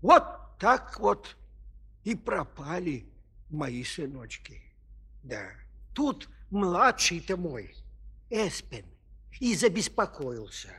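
Husky, fretful old peasant father. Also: high voice, sing-song, takes it slowly, pauses often, sharp tone, heavily acted. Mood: folksy, rueful. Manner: folksy, lamenting, exclaiming and repeating himself. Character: elderly fretful peasant father of three sons. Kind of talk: children's audio play, storytelling to listeners. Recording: 1970s studio audio play.

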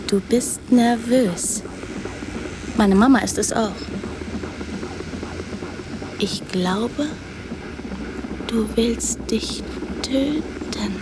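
A woman's voice: a little high, drawn-out words, long pauses, noisy background. An actress playing a trusting, direct young girl in a radio drama, speaking in plain, naive short sentences. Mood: naive, earnest.

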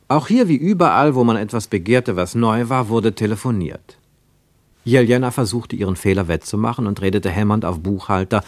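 Deeper-voiced male narrator in a dry studio. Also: no room sound. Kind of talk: scripted literary narration for a radio play.

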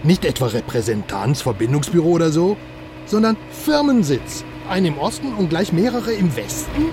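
An excitable, chatty informant. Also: male voice, a little high, normal speed, background noise. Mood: explaining. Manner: animated, talkative, excitable. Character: excitable male informant, chatty car fan. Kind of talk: radio drama acting. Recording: music playing behind.

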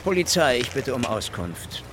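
A man's voice: medium pitch, big pitch swings, moderate pace, background noise, close to an everyday voice. An actor playing a probing police detective in a German radio play, clipped and insistent in his questioning. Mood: probing, official.

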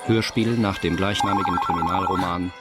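Brief: male actor as sober scene announcer for radio crime drama, very low voice, scripted narration. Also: steady tone, a little fast, over loud music, lightly acted. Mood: matter-of-fact.

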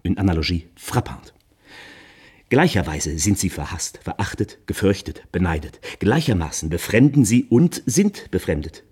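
An urbane impresario in a radio play, with a very low, husky voice. He speaks, effusive and theatrical, flattering his listener and sprinkling in phrases. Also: normal speed, exaggerated voice. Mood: emphatic, sardonic. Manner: theatrical, effusive, peppering speech with French phrases. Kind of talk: radio drama monologue.

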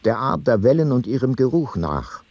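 An actor playing an ancient seafarer, reading his ship's log in a radio play in a measured, matter-of-fact way. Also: mid-range voice, big pitch swings, darkish tone, no room sound, muffled recording. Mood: measured, observant.

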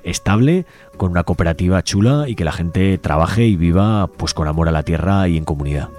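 A man presenting a community radio show, reading out a manifesto and describing a project in a measured, slightly halting way. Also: sits low, dark tone. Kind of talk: community radio presentation, partly read aloud.

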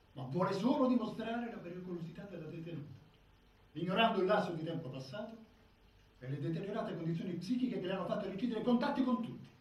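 An actor playing a critical, argumentative psychiatric expert in a formal, insistent stage monologue. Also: male voice, high-pitched, darkish tone. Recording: strongly echoing hall, far mic, dull sound.

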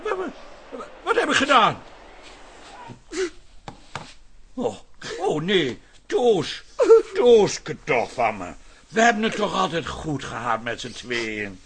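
A fed-up middle-aged husband in a radio comedy drama. His voice is high and forceful, with very lively swings of pitch. He complains with sarcasm. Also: takes it slowly, slightly rough, full character voice. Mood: pleading, placating. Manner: exasperated, sarcastic. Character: exasperated, loud husband and pub keeper who loves football.

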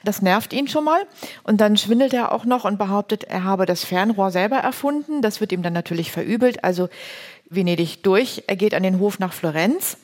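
A woman with a medium-pitched voice hosts a discussion, summarising and explaining conversationally. Her wording is colloquial and plain-spoken, keeping the retelling informal. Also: animated, brisk.

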